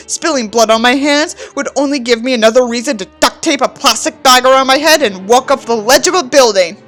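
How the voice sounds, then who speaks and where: A woman's voice: on the high side, fairly bright tone. A woman voicing a bitter, self-important nihilistic teenage boy in a theatrical, contemptuous dramatic reading of his journal, over music. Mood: bleak, pompous.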